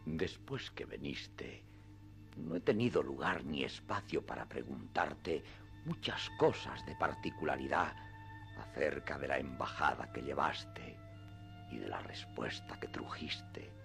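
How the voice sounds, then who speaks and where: A man acting a very deep, gravelly old knight. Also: very animated, unhurried, heavily acted. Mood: solemn. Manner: grandiloquent, solemn archaic speech. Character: proud, quick-tempered elderly knight-errant, lofty master. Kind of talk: radio drama acting. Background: background music.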